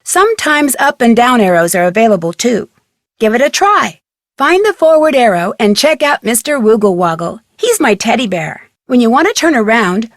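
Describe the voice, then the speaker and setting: A woman's voice: fairly high pitch, dry recording, studio quality, natural voice. An actress playing an upbeat, organized young girl detective, narrating scripted tutorial instructions for a mystery game.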